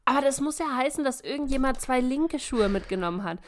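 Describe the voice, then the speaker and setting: A woman's voice: high voice, animated, quick pace. A woman chatting casually as a podcast co-host in a playful, teasing and colloquial manner. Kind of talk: casual chat.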